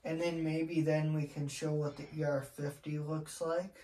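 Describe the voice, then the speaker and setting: Chatty co-host with a mellow, soft-edged voice. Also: mid-range voice, flat delivery, normal speed, a little hiss or hum, low-quality recording. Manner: chatty, addresses viewers and co-host directly. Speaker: co-host of a product review channel. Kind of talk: casual unscripted product review chat.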